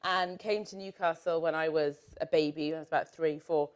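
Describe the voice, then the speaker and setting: A low-pitched woman from northern England chatting on a live stage panel. She is self-deprecating and laughs through her asides. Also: steady tone, fairly quick, smooth. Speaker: panel guest, writer. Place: stage at a live podcast event.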